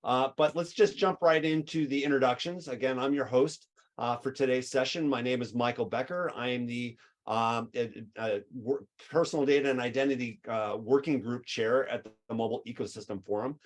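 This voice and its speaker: Man, webinar host, upbeat, enthusiastic, lots of ums and uhs, mid-pitched. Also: steady tone, moderate pace. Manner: upbeat, enthusiastic, filler-heavy. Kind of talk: webinar hosting.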